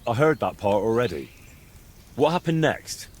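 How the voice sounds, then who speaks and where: An actor playing a calm young man in a medieval game, questioning people in a measured, dryly mocking, insistent way. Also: medium pitch. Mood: probing. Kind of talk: video game dialogue acting.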